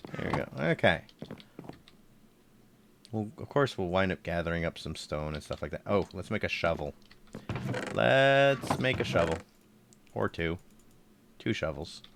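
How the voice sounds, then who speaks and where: A man with a low, slightly congested voice chatting casually while playing a game on stream and thinking aloud. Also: lively intonation, unhurried, gravelly, pauses often, fairly bright tone, a little hiss or hum. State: congested, throat tickle. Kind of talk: casual live gameplay commentary.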